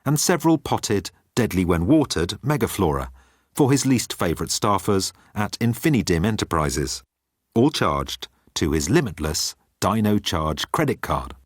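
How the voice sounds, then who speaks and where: A man reading comic science fiction as an expressive audiobook narrator. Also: sits low, moderate pace, no echo, clean studio sound.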